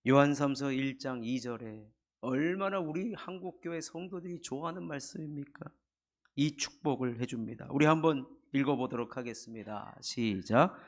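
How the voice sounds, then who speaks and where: Middle-aged pastor guy, hoarse from a cold, husky voice, earnest sermon in a church. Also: medium pitch. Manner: earnest preaching with rising emphasis. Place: church sanctuary.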